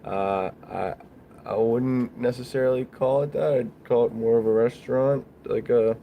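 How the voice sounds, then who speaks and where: A man hosting a casual livestream, talking in a halting, rambling, informal way as he answers viewers. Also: medium pitch, fairly even intonation, creaky, muffled recording, a little hiss or hum. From Bulgaria. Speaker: livestream host, small business owner.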